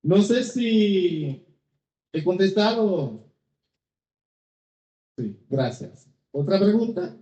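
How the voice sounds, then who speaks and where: A Haitian singer in his fifties, whose first language is Haitian Creole, answers audience questions slowly and carefully, searching for words in a second language. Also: sits high, lively intonation, warm tone. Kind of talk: live public Q&A. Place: cultural venue hall with an audience. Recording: echoey, dull hall sound.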